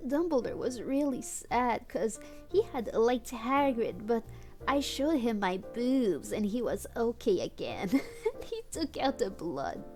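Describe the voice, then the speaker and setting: A woman's voice: fairly high pitch, lively intonation, slow, a little mellow, faint music behind. A woman livestreaming a read-aloud of fan fiction on a headset, playful and mock-dramatic, with amused asides. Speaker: streamer and host.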